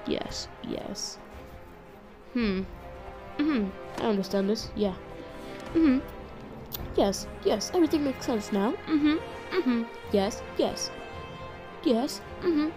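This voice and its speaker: A woman's voice: a little high, animated, slow, long pauses. A woman voicing a frustrated, obsessive cartoon stick-figure inventor in an improvised comedic fan dub, muttering and swearing. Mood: muttering, absorbed. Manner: muttering, profane. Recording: music playing behind the voice. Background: background music.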